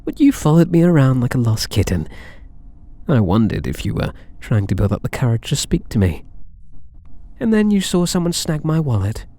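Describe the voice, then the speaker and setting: A man's voice: medium pitch, very animated, mellow, lightly acted. A British man playing a possessive, flirtatious alien catboy thief in an intimate ASMR roleplay, teasing and doting. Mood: smitten, wistful. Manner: teasing, doting, purring endearments, unhurried.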